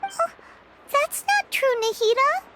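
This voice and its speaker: A woman voicing a tiny, excitable fairy companion in a video game, with a squeaky childlike voice and bubbly, exclamatory delivery. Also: slow, heavy background noise, heavily acted. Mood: excited. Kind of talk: video game dialogue acting. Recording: music under the dialogue.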